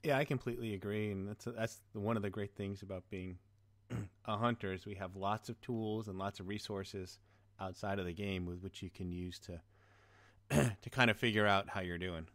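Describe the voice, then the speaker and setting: A man's voice: fairly low pitch, steady tone, normal speed, fairly bright tone, no echo. A man hosting a podcast in a casual chatting style. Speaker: podcast host.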